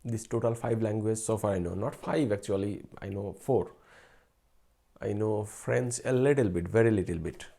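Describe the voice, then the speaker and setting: A young man from Bangladesh talks in a Bangladeshi accent, a native Bengali speaker chatting casually on a live stream from a computer mic. His voice sits fairly low and sounds mellow and relaxed. He rambles, with plenty of fillers and quick self-corrections. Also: gravelly. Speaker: researcher doing a live stream.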